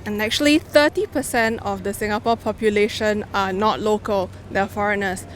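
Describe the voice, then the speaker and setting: A woman hosting a street interview outdoors, asking clear, upbeat questions. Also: medium pitch, steady tone, moderate pace, slight background noise.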